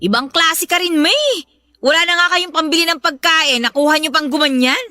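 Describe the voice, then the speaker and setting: A woman's voice: high voice, lively intonation, normal speed, sharp tone, no echo, studio quality. A woman playing a put-upon young Filipino daughter in a melodramatic audio drama, complaining and defending herself in a politely reproachful way, with a Filipino accent. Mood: hurt, indignant. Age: young adult.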